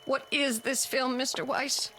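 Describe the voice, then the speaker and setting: Woman, nervous deferential maid, high bright voice, old TV drama. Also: level tone, a little slow, a little hiss or hum. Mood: dread. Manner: polite, fearful. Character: deferential, uneasy female housemaid. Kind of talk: old television drama acting. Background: faint music.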